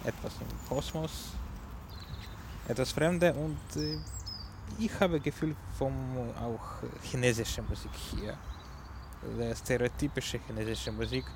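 A man with a Ukrainian accent hosts a community radio music show, speaking very slowly and pausing often to search for German words. His voice sits at an ordinary male pitch. Clear background noise runs under the recording. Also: fairly even intonation. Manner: halting, searching for German words, many fillers. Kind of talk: unscripted radio music presentation.

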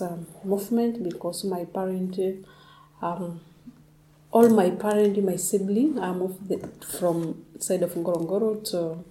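A woman's voice: on the low side, mellow, slight background noise. Hesitant woman in a roomy space. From Tanzania. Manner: hesitant, many fillers and restarts. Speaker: interviewee, displaced community member. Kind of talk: testimony in conversation.

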